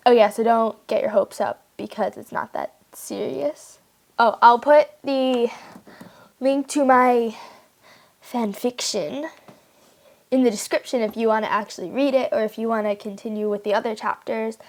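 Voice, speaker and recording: A woman's voice: fairly high pitch, normal speed. A young woman chatting casually to online viewers in a giggly, rambling, informal way. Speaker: amateur fan fiction writer and reader.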